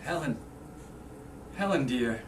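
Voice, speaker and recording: A woman's voice: very deep voice, animated, slow pace, full character voice. A woman playing a devoted husband home from war in staged reader's theater, earnest and romantic. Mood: tender, joyful. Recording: roomy, distant mic, faint noise.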